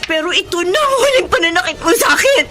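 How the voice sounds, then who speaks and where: A woman's voice: very high-pitched, animated, fast, sharp tone, faint music behind, heavily acted. A Filipina actress playing a wronged but resolute young single mother in a radio drama, earnest and emotional. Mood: hurt, defiant.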